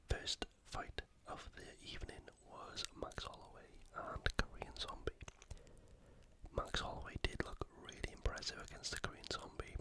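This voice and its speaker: An ASMR YouTuber speaks entirely in a breathy whisper, close to the microphone. The delivery is soft and unhurried, like a quiet sports rundown meant to relax.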